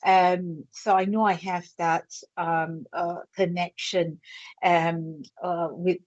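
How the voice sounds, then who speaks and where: A woman's voice: lowish voice, little pitch movement, slow, dry recording. A woman with a Yorkshire accent giving a psychic reading, thinking aloud with many fillers and restarts. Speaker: psychic medium. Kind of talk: live psychic reading on air. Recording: dull lo-fi sound.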